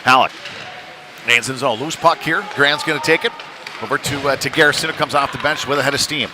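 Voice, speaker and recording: A man's voice: mid-range voice, a little fast. A man giving casual, unpolished live play-by-play commentary on a youth hockey game, his delivery rising with the action. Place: cold ice rink during a live stream. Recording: clear venue noise behind the voice.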